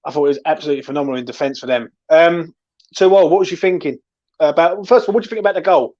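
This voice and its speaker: A man's voice: on the high side, very fast, a little mellow, lo-fi sound. A man hosting casual football chat, emphatic and opinionated, repeating himself to stress his points. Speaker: host of a football discussion show.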